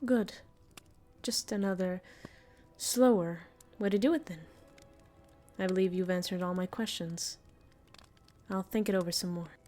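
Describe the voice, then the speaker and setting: A woman playing a centuries-old vampire master, tender and weary, confiding softly in an intimate audio roleplay. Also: medium pitch, soft background music, natural voice. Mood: measured, wary. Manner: soft, slow, hesitant, confiding.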